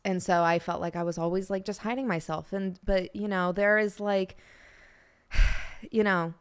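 A woman's voice: mid-range voice, fairly even intonation, normal speed, clear, resonant tone. A young woman from Pittsburgh, a podcast guest chatting casually and bubbly, with lots of "like" and "you know". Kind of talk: casual chat.

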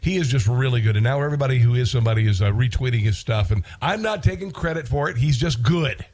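A man's voice: mid-range voice, lively intonation, fast talker, a little mellow. A congested man with an American accent hosting talk radio in a casual, wry monologue, repeating phrases for emphasis.

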